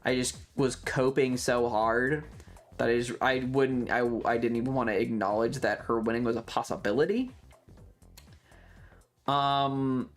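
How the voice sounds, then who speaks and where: A livestream host chatting casually and emphatically, rambling and swearing freely. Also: medium pitch. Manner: swearing, emphatic, animated ranting.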